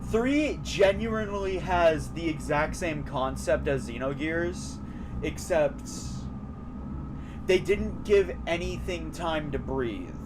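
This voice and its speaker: A man's voice: a little high, lively intonation, slow, noisy background. A man giving casual, opinionated, rambling commentary on a live stream while he plays a video game.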